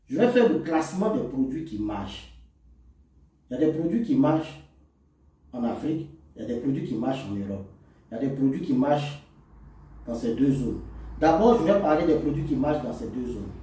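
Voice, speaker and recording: A man speaks from a little way off the microphone in a strongly reverberant room. His voice is soft-edged and mellow at a medium pitch. He explains products like a teacher, going over points and listing them one by one. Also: expressive, a little fast, pauses often. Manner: didactic, repeats and enumerates points. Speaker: cosmetics formulator and seller presenting to resellers. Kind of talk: instructional product talk to camera. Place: echoey indoor room. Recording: very echoey room, distant microphone.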